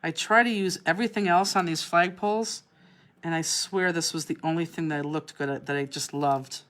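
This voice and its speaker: A woman's voice: deep voice, steady tone, normal speed, a bit bright. A woman from Cleveland with a Cleveland accent, hosting a live craft class and chatting as she answers viewers' comments. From Cleveland, Ohio. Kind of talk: live online craft demonstration. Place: craft studio, indoors.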